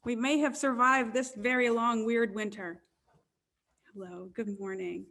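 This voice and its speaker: A woman minister leading an online church service, with a warm and gentle delivery. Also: a little high, fairly even intonation, a little slow. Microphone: video call.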